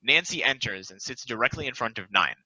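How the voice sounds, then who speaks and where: A man's voice: medium pitch, lively intonation, brisk, bright, forceful tone, husky, no echo, dull audio. A man reading stage directions as the narrator of a play table read, in scripted narration.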